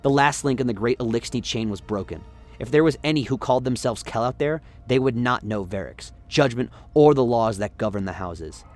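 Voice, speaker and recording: A man's voice: medium pitch, lively intonation, brisk, darkish tone, soft background music. A man giving scripted, dramatic narration of a story as the narrator of a radio play.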